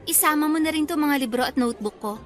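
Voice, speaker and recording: An actress playing a curt, bossy young older sister in a radio drama, brisk and demanding. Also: female voice, sits high, faint music behind, natural voice. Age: young adult.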